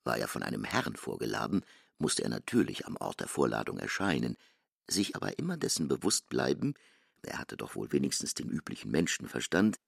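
A man's voice: very deep voice, big pitch swings, fairly quick, gravelly, slightly rough, no room sound, studio quality. A man delivering scripted literary narration in a radio play.

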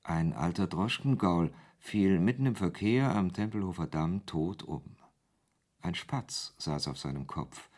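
A man's voice: sits low, expressive, unhurried, darkish tone. A man reading scripted literary narration as the narrator of a radio drama.

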